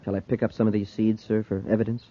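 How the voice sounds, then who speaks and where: An actor playing an eager, respectful young space cadet in an old radio adventure. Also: male voice, on the low side, flat delivery, quick pace, natural voice. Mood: earnest. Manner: earnest, deferential. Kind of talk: mid-century radio adventure acting. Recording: noisy, muffled old radio recording.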